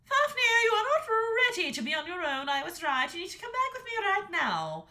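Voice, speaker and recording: A woman voicing a huge, angry female dragon who scolds her son in shrill, scornful tones. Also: very animated, slow, pushed and bright, full character voice. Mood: scolding.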